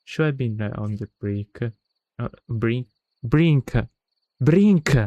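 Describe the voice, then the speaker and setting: A man's voice: medium pitch, expressive, slow pace, mellow, no echo, dull audio. A man livestreaming a visual novel read-aloud in a casual, chatty way, often stumbling over words and correcting himself.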